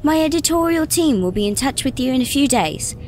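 A female reporter in a science fiction audio drama. Her voice is high and very animated, with a sassy, sarcastic edge. Faint ambience sits behind her. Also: a little fast, slight background noise. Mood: polite, professional. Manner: expressive, sarcastic. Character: sassy, inquisitive, defiant young female reporter. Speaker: actor in a sci-fi audio drama.

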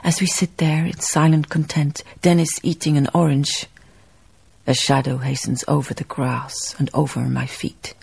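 A reflective woman memoirist narrates a radio play. Her voice is deep for a woman and husky, with a gravelly creak. She speaks lyrically and deliberately, lingering on poetic phrases. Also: level tone, a little mellow, exaggerated voice. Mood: contemplative. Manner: measured, lyrical, savouring phrases. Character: reflective, poetic woman memoirist recalling colonial farm life.

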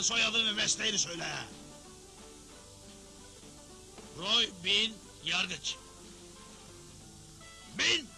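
A pompous crooked Wild West judge in a cartoon, very high-pitched and declamatory. He pronounces every line like a grand verdict, over loud music. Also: heavily acted, low-quality recording. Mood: indignant, imperious. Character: pompous, theatrical crooked frontier judge who craves authority. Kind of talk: dubbed Western cartoon acting.

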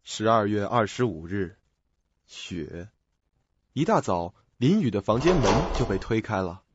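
A man with a lowish voice narrates a radio drama from a script. The recording is dull, with clear background noise. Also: lively intonation, measured pace.

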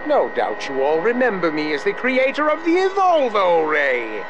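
An actor voicing a creepy, indignant older mad scientist in a cartoon game, pompous and theatrical. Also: male voice, high voice, wildly swooping pitch, normal speed, muffled recording, noisy background. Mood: grandiose. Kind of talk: cartoon video game acting. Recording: loud music behind the voice.

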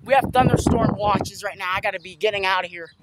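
A young man vlogging while walking outside, talking excitedly and repeating himself about an approaching storm, filmed on a handheld phone. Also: sits high, quick pace. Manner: excited, repetitive exclamations. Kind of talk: casual walking vlog. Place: outdoors on a small-town street. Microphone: phone camera held at arm's length. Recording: outdoor street noise.

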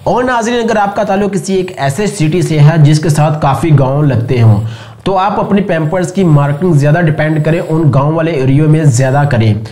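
A man's voice: on the high side, fairly quick, warm tone. A man with a Pakistani accent hosting an explainer video, reading a voice-over that addresses viewers directly and instructively. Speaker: video host. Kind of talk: scripted explainer voice-over narration.

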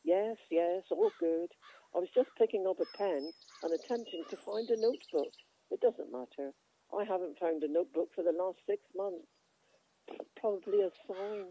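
Woman playing a mellow, forgetful ageing village lady. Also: on the low side, moderate pace, close to an everyday voice, poor audio. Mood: gently wry. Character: ageing, forgetful, gently self-mocking village woman Barbara. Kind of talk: radio play acting.

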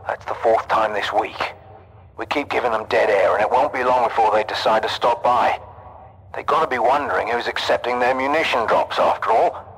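An actor playing a dutiful soldier in a video game, reporting in a formal military manner. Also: male voice, mid-range voice, normal speed, a bit bright, breathy edge, low-quality recording. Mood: wary. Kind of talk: video game drama acting.

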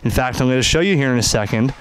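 Guy behind the camera in a backyard explaining radio gear, casual, clear smooth voice, average pitch. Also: rapid-fire, no room sound, low-quality recording. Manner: informal, explanatory. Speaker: amateur radio product reviewer. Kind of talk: casual hands-on product demonstration. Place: outdoors in a backyard. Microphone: handheld camera microphone, operator behind camera.

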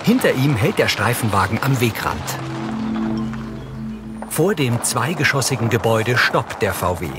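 A man reading scripted audio description for a TV crime drama, matter-of-fact and clearly articulated. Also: medium pitch, big pitch swings, moderate pace, pauses often. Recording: TV soundtrack playing underneath. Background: loud music and vehicle sounds.

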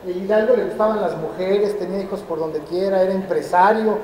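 A man in his fifties from Mexico lectures a roomful of teachers, lively and questioning, pressing every point. His voice is mellow and dark. It rings out through a large hall with a long echo, caught by a camera some way off. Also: high voice, a little fast, slight background noise. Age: 50s. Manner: lively, asks the audience questions and drives points home. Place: large roofed hall with metal roof beams. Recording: echoey hall, camera at a distance.

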